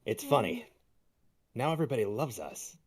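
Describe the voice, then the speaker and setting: A man's voice: medium pitch, big pitch swings, moderate pace, a little mellow. A man reading a character's lines in a casual comedic table read.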